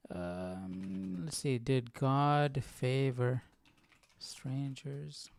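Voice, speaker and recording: A man hosts an informal study livestream in an everyday middle-pitched voice. He thinks out loud in a casual, conversational way. The sound is dry and close on the microphone. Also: fairly even intonation, drawn-out words, gravelly, no room sound. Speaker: host of a live Bible study stream. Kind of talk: casual livestream chat with reading and commentary.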